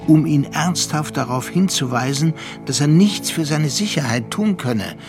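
An actor as a reflective storyteller, narrating a radio drama in a measured, literary way. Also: male voice, medium pitch, natural voice. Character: reflective male storyteller recounting a friend's ordeal.

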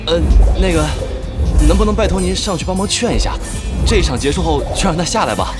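A woman's voice: fairly low pitch, lively intonation, fast, natural voice. An actress playing an anxious, polite female event staffer in an audio drama, hesitant and imploring in manner. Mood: anxious pleading. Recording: loud music behind.

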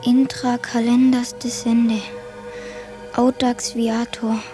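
Solemn reader of ancient riddles, radio drama. Highish female voice, unhurried, deliberate, music behind. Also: level tone. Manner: slow, deliberate reciting. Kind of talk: German radio drama acting.

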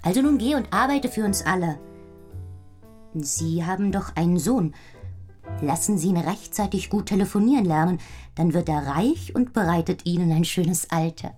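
An actress in a German radio play playing a pert, independent young cabaret singer, candid and cheeky in a matter-of-fact way. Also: female voice, fairly low pitch, animated, moderate pace, a little mellow, soft background music.

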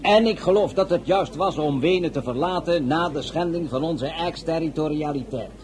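Diplomatic male minister played by an actor, slightly high voice, formal, measured radio-drama delivery. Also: a little mellow, a little hiss or hum, close to an everyday voice.

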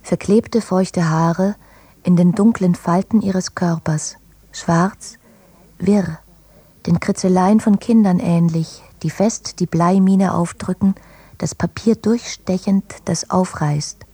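A woman reads literary prose very slowly in a low, breathy voice that slips toward a whisper. The tone is dark and soft, phrase by phrase. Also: fairly even intonation, no echo. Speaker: literary reader. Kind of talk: slow scripted literary reading in an audio drama.

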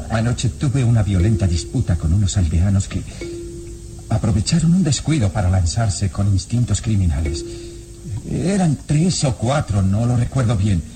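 An actor playing a shaken, exhausted, defensive man in a radio serial, speaking haltingly and repeating his words dramatically. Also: on the low side, natural voice. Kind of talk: vintage radio serial acting. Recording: old radio serial with loud music bed.

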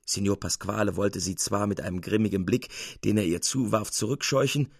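A male narrator reads classic fiction in an expressive audiobook style. His voice is creaky and husky at an average pitch, captured dry and close. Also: fairly quick, no room sound.

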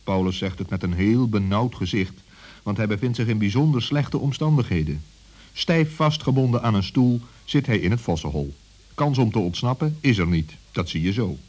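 A man narrates a children's story in a lowish, husky voice. His delivery is lively and varied, shaped as scripted storytelling. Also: normal speed.

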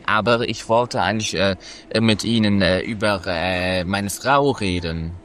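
A hesitant, awkwardly polite husband hustling for money in a radio comedy, played by a man. He stammers in a lowish voice, with faint noise behind him. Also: a little slow, a bit bright. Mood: nervous, fumbling. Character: bumbling, money-minded husband managing his singer wife. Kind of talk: comedy radio play acting.

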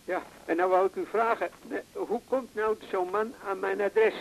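A man's voice: high-pitched, fairly even intonation, moderate pace, slight background noise, rough recording. An actor playing a chatty, boastful, jovial elderly male patient in a radio drama, with a folksy way of talking. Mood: puzzled, chatty. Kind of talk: naturalistic radio drama acting.

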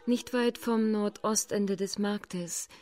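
A woman's voice: medium pitch, steady tone, slow pace, over music, lightly acted. An actress playing a polite, independent young blind woman in a radio play, speaking formally and with composure. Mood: polite, composed.

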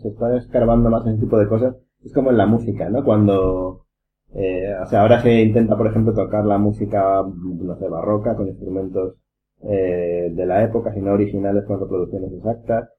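A man's voice: on the low side, steady tone, a little fast. A man with a Castilian Spanish accent hosting a casual round-table chat in an informal, rambling way. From Spain. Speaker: podcast host. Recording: dull lo-fi sound, background noise.

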